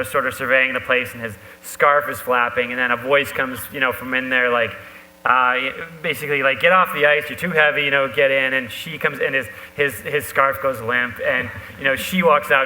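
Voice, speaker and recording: A man speaking as an author on a live panel, rambling conversationally with loose, halting asides. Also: mid-range voice, pushed and bright. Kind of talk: rambling conversational talk at a live book event. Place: stage at a live talk event. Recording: echoey hall, faint background hum.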